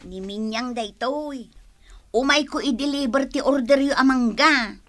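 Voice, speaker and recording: A woman playing a brisk, shrewd neighbourhood fruit seller with a Filipino accent in a comedy radio drama. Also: sits high, a crowd in the background. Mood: polite, friendly. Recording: faintly noisy radio drama recording.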